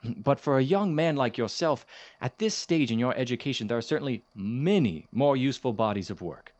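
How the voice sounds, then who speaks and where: An actor playing a courteous, dismissive chemistry professor in an audio drama, speaking in a formal, measured, gently condescending manner. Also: male voice, medium pitch, expressive, close to an everyday voice. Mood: dismissive, patronizing. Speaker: substitute lecturer. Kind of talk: audio drama, lecture and office talk.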